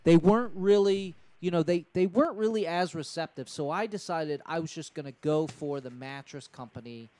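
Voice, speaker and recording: A man in his thirties playing an eager, scheming dad making a rambling, persistent sales pitch on a prank call. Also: moderate pace, few pauses, mellow, close to an everyday voice. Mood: earnest, pushy. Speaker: prank caller. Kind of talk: improvised prank phone call. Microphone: handheld microphone held close to mouth.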